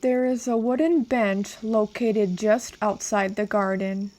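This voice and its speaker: A woman narrating a guided meditation in a calm, measured, instructional way. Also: mid-range voice.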